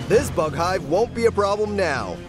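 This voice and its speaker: High, lively army captain. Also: male voice, moderate pace, loud music behind the voice. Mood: dutiful. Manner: crisp, disciplined military delivery. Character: dutiful, proud male military captain. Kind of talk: video game character acting.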